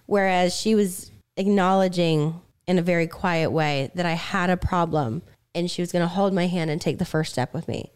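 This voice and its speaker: Reflective woman with a smooth, slightly raspy voice. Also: fairly low pitch. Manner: reflective, speaks in halting restarts. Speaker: podcast co-host. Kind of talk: candid conversation.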